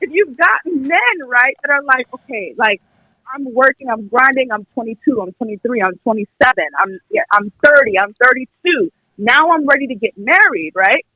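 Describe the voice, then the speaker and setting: Young american woman calling in, bright high voice, makes her points hard, acts out quotes. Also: lively intonation, moderate pace, dry recording, low-quality recording. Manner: emphatic, acts out imagined quotes. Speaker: caller on a podcast. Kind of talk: opinionated conversational argument.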